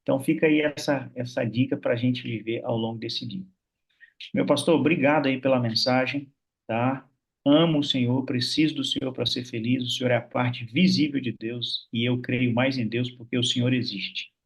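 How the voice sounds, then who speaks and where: Church meeting host, husky-voiced man, chatty, over a video call. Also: medium pitch, fairly even intonation, moderate pace. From Minas Gerais, Brazil. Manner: warm, chatty, many fillers like "né". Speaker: host of an online prayer meeting. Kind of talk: casual church meeting hosting.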